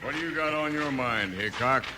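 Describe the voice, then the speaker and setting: A man's voice: medium pitch, normal speed, close to an everyday voice. A man playing a surly, shifty gambling boss in a 1950s radio western, curt and menacing. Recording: noisy old 1950s radio recording.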